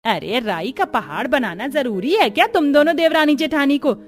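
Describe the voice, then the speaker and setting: A woman voicing a bossy, demanding older mother-in-law in an animated family story, with a commanding, scolding manner. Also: sits high, moderate pace. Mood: indignant scolding. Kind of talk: Hindi cartoon story acting.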